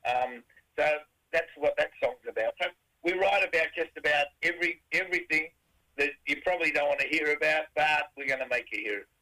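A middle-aged Australian man with a raspy voice, a rock band singer answering interview questions in a rambling way, full of fillers and restarts. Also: a little high, fairly quick, bright, forceful tone, poor audio.

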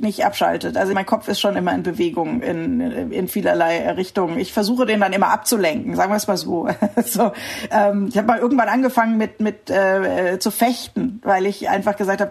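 A woman's voice: mid-range voice, steady tone, fairly quick, creaky, a little mellow, husky. A middle-aged woman from the Rhineland, answering interview questions in a thoughtful way, often searching for words and laughing easily. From Rhineland, Germany. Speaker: interview guest. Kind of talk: reflective conversational interview.

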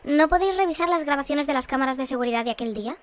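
A woman playing a composed, matter-of-fact radio host shrunk to half size, in a scripted radio drama, with a pitched-up voice. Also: very fast. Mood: curious. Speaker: radio presenter. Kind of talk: scripted fictional radio bulletin and interview.

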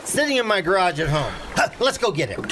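Actor as sheepish fisherman, high animated voice. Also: quick pace, noisy background. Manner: hesitant, sheepish. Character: sheepish, forgetful, hopeful fisherman sidekick. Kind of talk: radio play acting.

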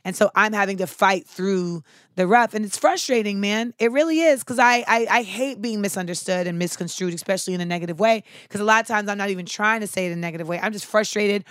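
A woman talking casually to her viewers in a sharp, clipped way, with casual swearing. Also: mid-range voice, dry recording, studio quality. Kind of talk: casual monologue to camera.

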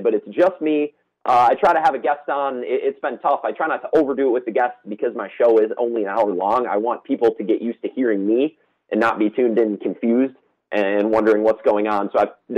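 A man's voice: mid-range voice, highs cut off. A man, a student radio host speaking as a guest in an earnest, eager way. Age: 20s. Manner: earnest, eager, quick-talking. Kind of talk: casual interview chat.

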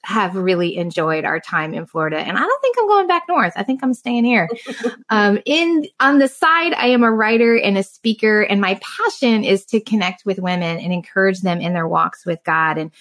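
Forties woman guest from southern Indiana, bubbly and laughing, pitch leaping up when excited. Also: moderate pace. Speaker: guest author. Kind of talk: casual conversation.

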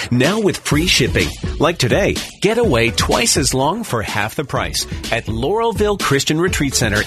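A man with a fairly high, very lively voice reads an upbeat radio promo. Music plays behind him. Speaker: ad announcer. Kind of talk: scripted radio ad read.

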